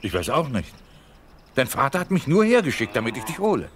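An actor playing a helpful, simple farm servant in a Bible audio drama. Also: male voice, medium pitch, wildly swooping pitch, fairly quick. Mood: dutiful. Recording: clear outdoor animal and bird noise.

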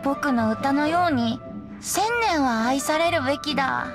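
Video game bard: boyish, high, tipsy and dreamy, carefree, music loud underneath. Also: female voice, measured pace. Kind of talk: Japanese game voice acting.

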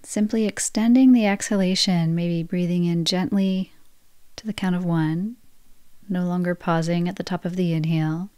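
A woman guiding a yoga nidra relaxation practice, speaking in slow, soft, soothing phrases. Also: lowish voice, steady tone, clear, resonant tone, no echo. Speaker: meditation guide.

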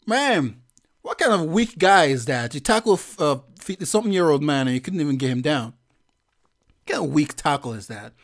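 A man giving a profane, slangy reaction commentary as a vlogger, with loud laughing outbursts. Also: a little high, big pitch swings, moderate pace.

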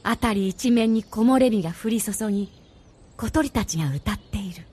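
Husky woman narrator. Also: mid-range voice, warm tone. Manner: measured storytelling. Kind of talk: scripted radio drama narration.

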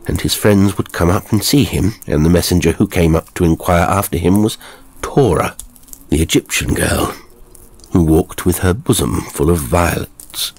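A man narrating a horror story in a measured, theatrical storytelling manner, reading scripted literary prose. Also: low voice, a little mellow.

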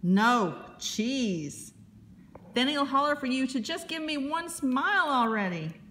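Expressive woman storyteller, noisy recording. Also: high voice, normal speed. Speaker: children's librarian, storyteller. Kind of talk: read-aloud picture-book narration.

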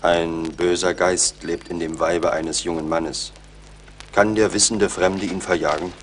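A proud, grave warrior in a frontier radio drama. His voice is deep, his speech ceremonious and solemn. A faint hum lies behind it. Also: little pitch movement, unhurried. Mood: grave, probing. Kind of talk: German radio play acting.

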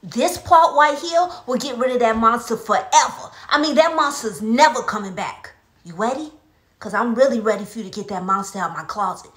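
A woman playing a mischievous little girl in a comedy skit, telling tall tales in a theatrical, drawn-out way. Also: fairly high pitch, fairly bright tone, natural voice. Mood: bossy. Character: mischievous little girl Coco, cookie-loving tall-tale teller. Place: small bedroom, indoors. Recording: roomy echo, faint music behind.

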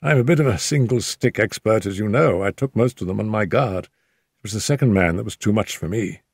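A man voicing an injured detective who mutters in a weak, hoarse whisper, wry and scheming, in an audiobook. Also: on the low side, brisk, a little mellow, no echo, clean studio sound, voice pushed up. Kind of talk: audiobook character voice.

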